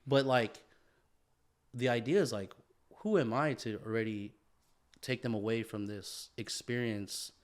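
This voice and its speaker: A man's voice: mid-range voice, unhurried, long pauses. A man, a father, co-hosting a podcast in casual chat, earnest and reflective, often restarting as he searches for words and agreeing with repeated "yeah". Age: young adult to middle-aged father. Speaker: podcast co-host.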